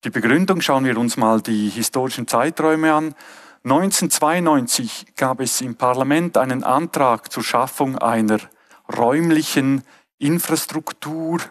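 A middle-aged man with a Swiss German accent gives a conference keynote from a stage lectern, earnest and often pausing to rephrase. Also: medium pitch. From Switzerland. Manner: earnest, with frequent hesitations and restarts. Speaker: keynote speaker.

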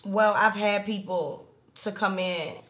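A woman in her thirties with a raspy alto voice, chatting casually in an interview and rambling through stories with many fillers. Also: mid-range voice, takes it slowly, a bit bright. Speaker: rapper and singer interviewed. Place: indoor room, seated on a leather couch.

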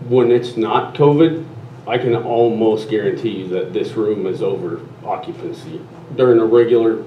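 A man with a low voice argues his point in a board meeting, earnest and emphatic. He sits away from the mic, so he sounds distant and dull. The room echoes around him. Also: slight background noise. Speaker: board member. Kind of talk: meeting discussion.

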